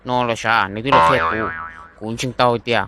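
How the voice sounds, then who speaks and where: A man's voice: medium pitch, expressive, fast, heavy background noise, lightly acted. A man playing a young man in love in a cartoon romance, speaking in a conversational way. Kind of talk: amateur animated cartoon dialogue.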